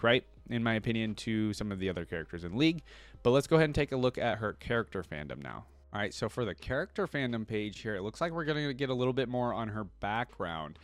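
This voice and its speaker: A young man with a fairly low voice chats away in a relaxed, upbeat way, calling his viewers "guys" and leaning on casual slang. The sound is dry and close, with no room echo. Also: smooth. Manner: casual slang, repeats freaking. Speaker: reaction video host. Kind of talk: casual reaction commentary.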